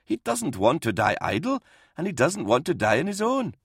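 A man's voice: fairly high pitch, wildly swooping pitch, normal speed, slightly rough, dry recording, clean studio sound, voice pushed up, full character voice. A man voicing a blunt, irascible but compassionate old army priest in an audiobook, snappy and outspoken. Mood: reproachful. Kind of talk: audiobook character voice in military science fiction.

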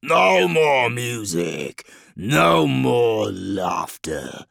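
A man voicing a huge, gloomy, irritable demon lord in a fantasy audiobook, with a gravelly, booming, grumbling delivery. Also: big pitch swings, extremely slow, fairly bright tone, dry recording, studio quality, exaggerated voice. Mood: gloomy, irritated. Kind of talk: dramatic fantasy audiobook character voice.

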